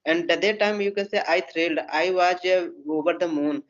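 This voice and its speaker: A man's voice: high voice, fairly quick. Hindi-speaking man, full of "you know". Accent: Indian English. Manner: repetitive, leans on "you know" and "oh my God". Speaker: English speaking practice partner. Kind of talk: English practice conversation. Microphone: video call. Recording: muffled video call audio.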